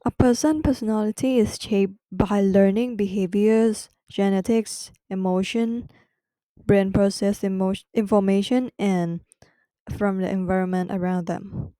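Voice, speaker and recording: Thai-accented woman hosting a study podcast, slow and halting, mellow tone, dry close sound. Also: mid-range voice, steady tone, dry recording. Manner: careful, halting second-language delivery with restarts. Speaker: podcast host. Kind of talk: educational podcast explanation.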